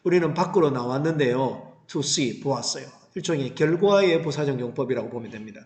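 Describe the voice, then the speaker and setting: A man's voice: mid-range voice, expressive, fairly quick, darkish tone. A man teaching an English reading lesson, explaining line by line and putting questions to students that he answers himself. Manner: didactic, asks rhetorical questions and answers them. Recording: roomy, echoing sound.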